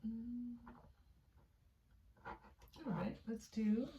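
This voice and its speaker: A woman's voice: a little high, steady tone, very slow, background noise. A woman giving a casual, intuitive tarot reading for viewers, often repeating phrases for emphasis. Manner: voices another person's thoughts in first person. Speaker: tarot reader, channel host.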